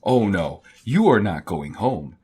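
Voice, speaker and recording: A man voicing a bombastic, domineering puppet master villain in a storybook, loud and theatrical. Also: lowish voice, very animated, takes it slowly, slightly rough, exaggerated voice. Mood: menacing.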